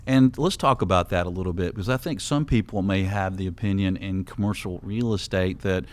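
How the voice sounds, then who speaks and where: A man's voice: deep voice, brisk. A man hosting a radio talk show, upbeat and chatty, who laughs easily with his guests.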